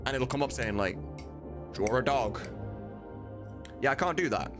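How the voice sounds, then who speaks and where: A man's voice: mid-range voice, expressive, moderate pace. A man hosting a casual livestream, talking informally and swearing now and then as he drifts between work and chat. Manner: informal, sweary, easily sidetracked. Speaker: livestream host and voice mod editor. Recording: music behind, computer typing. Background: music and keyboard clicks.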